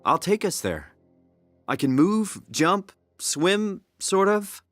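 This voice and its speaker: A man's voice: high voice, very animated, slow pace, faint music behind, exaggerated voice. An actor playing a bewildered, earnest everyman in a video game, with a questioning, hesitant manner. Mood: determined. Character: bewildered, earnest everyman whose mind is in a machine body.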